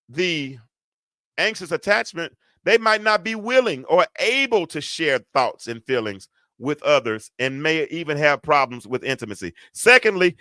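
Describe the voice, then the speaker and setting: A middle-aged man hosting a talk, with a deep, stentorious voice, lecturing a group in a lively, preacher-like way, full of rhetorical questions and big swings between loud and quiet. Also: no room sound, clean studio sound. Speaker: host.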